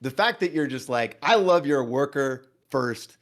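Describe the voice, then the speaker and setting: A man's voice: mid-range voice, expressive, a little slow. A man calling in as a guest for a casual, sweary chat, talking earnestly and emphatically in a rambling way.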